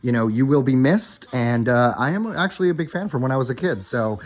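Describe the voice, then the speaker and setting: A man's voice: mid-range voice, a little fast. A man hosting a podcast in a hotel room, chatting informally to listeners and running through updates in a casual way. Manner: informal, addressing listeners directly as guys. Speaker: podcast host. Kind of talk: casual solo podcast chat with news updates. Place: hotel room while on vacation.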